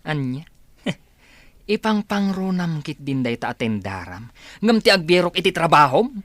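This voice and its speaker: A woman's voice: low voice, very animated, moderate pace, dry recording. A woman playing a bossy, bickering grown sibling in an Ilocano comedy radio drama, needling and incredulous, with an Ilocano Filipino accent.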